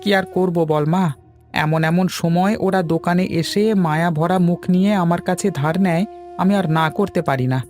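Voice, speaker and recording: A man plays a middle-aged cartoon shopkeeper, a weary and anxious father. His voice is high for a man and plaintive, fretting along over background music. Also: steady tone, moderate pace, darkish tone, lightly acted. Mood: weary, worried. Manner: plaintive, fretful. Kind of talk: cartoon dialogue.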